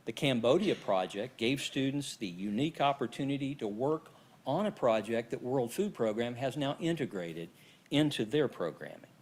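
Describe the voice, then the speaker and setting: A man presents a scripted overview of his college at a campus event. His delivery is steady and practiced, as if read from prepared remarks. His voice sits in a middle register. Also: medium pitch.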